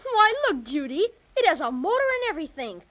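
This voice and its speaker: A woman's voice: sits high, very animated, brisk, fairly bright tone. A woman playing an eager, wide-eyed little boy in a 1930s radio adventure play. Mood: amazed. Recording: muffled 1930s radio recording.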